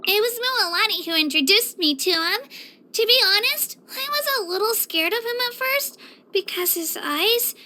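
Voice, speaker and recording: A woman's voice: very high-pitched, exaggerated voice. A woman voicing an earnest, sweet, self-doubting young girl hero in an anime-style fantasy video game. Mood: shy. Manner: earnest, youthful, gentle.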